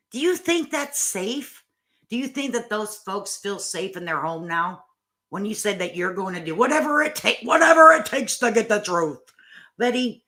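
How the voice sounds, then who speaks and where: Bright, animated, sarcastic woman commentator. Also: medium pitch. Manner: mocking, sarcastic, blunt, folksy and salty. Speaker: YouTube commentary host. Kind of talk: reaction commentary, casual talk.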